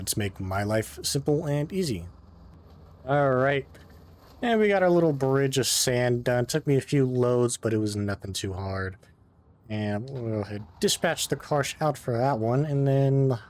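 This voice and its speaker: A man hosting a gaming channel, giving casual commentary over his gameplay, rambling, talking to himself and mocking himself, often trailing into mumbles and humming as he plays. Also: mid-range voice.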